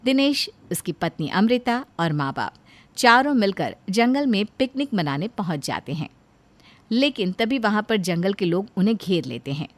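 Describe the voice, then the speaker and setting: A woman's voice: mid-range voice, sing-song, normal speed. A woman reading scripted narration as a storyteller.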